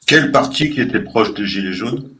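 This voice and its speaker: A man's voice: fairly low pitch, expressive, moderate pace, sharp tone. A man from Portugal in a heated live political argument, ranting and combative, repeatedly demanding not to be interrupted. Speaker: live debate participant.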